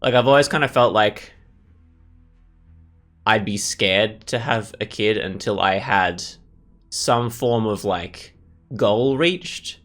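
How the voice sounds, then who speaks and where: A man with a fairly low voice hosts a casual gaming session. He muses tentatively, piecing thoughts together in fragments, with music playing behind him. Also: slow pace, pauses often, a bit bright. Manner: musing, tentative, thinking aloud in fragments. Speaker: gaming host. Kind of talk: casual let's play commentary.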